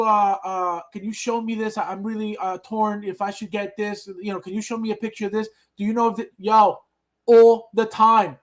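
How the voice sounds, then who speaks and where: A man from Colombia hosting a casual podcast chat, rambling and acting out the remarks of other people. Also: sits high. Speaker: podcast host.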